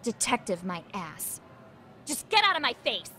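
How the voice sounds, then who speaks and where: A woman's voice: on the high side, big pitch swings, normal speed, pushed and bright, a little hiss or hum, heavily acted. A woman voicing an abrasive, scornful teenage schoolgirl in a video game dub. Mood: hostile. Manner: scornful, brash. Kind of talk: English game dub dialogue.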